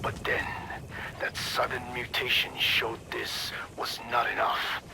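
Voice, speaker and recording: An actor playing a grave elderly man who keeps secrets in a video game, speaking in a hushed, raspy, halting and deliberate way. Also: medium pitch, little pitch movement, in a whisper, poor audio. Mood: grave, confessional. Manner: slow, deliberate, halting delivery. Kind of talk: dramatic video game dialogue.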